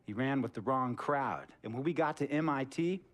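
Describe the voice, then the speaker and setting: A man's voice: mid-range voice, expressive, normal speed, close to an everyday voice. A man playing a stern, lecturing team boss. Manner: lecturing, sarcastic, authoritative. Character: stern middle-aged male team executive. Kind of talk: video game cutscene acting.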